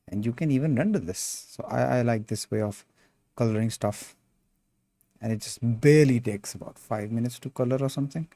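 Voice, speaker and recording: A young man with an Indian accent chats loosely while he works, his voice warm and mellow. He reads viewer messages aloud and throws in "bro" as he goes. The sound is dry and close, with no room around it. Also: medium pitch, no echo. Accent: Indian English. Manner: laid-back, calls viewers bro, reads chat aloud. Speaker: sculpting streamer. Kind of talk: casual livestream chat.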